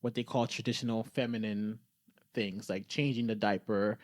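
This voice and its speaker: A man's voice: fairly low pitch, steady tone, normal speed, no echo. A man co-hosting a podcast, talking in a reflective, conversational way, earnestly explaining and thinking aloud. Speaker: podcast co-host.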